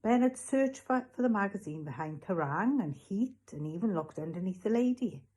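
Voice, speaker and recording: A woman narrating a children's storybook as a read-along, in an expressive scripted reading style. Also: medium pitch, normal speed. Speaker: reader, school read-along.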